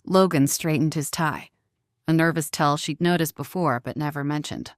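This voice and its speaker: A woman with a lowish voice narrates a dramatic story. Her delivery is measured and emotive, captured close and dry with no room sound. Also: fairly even intonation, dry recording.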